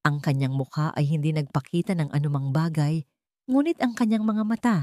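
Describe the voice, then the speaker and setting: A woman's voice: low-pitched, mellow, no room sound, studio quality. A woman with a Filipino accent reading a scripted dramatic audio story as its storyteller.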